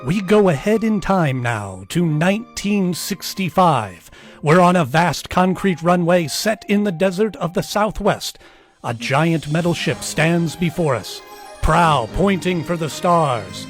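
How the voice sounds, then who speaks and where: A man's voice: on the high side, animated, a little slow, faint music behind. A man playing a portentous announcer in a theatrical, old-time science fiction radio drama style. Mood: portentous, grand. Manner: theatrical, declamatory. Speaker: announcer and bit player. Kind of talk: dramatic 1950s radio narration, live re-performance.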